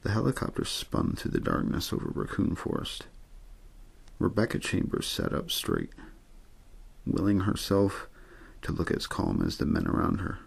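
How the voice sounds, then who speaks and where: A man reading a story aloud in ASMR bedtime style, with an understated, untheatrical delivery and a gravelly, husky voice. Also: deep voice, wildly swooping pitch, normal speed. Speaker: amateur audiobook reader.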